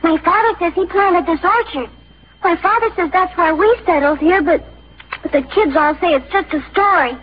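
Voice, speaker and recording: A woman's voice: very high voice, moderate pace. A woman playing an earnest, trusting yet doubtful young farm child in a 1950s radio drama. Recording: muffled vintage 1950s radio broadcast.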